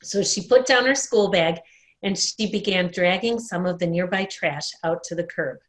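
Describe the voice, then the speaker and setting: A woman telling a children's story in an expressive read-aloud style, recorded at home in a dining room. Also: fairly low pitch, normal speed, poor audio. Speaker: storyteller at a worship service.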